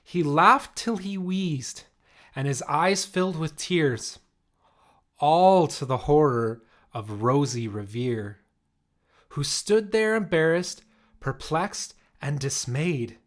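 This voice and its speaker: A man reading a rhyming children's picture book aloud in an expressive, measured way. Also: a little high. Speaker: story reader.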